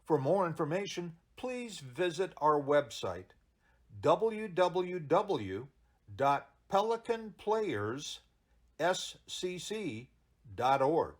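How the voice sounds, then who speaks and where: Slow, animated male theater announcer. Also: on the high side. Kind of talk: scripted promotional announcement.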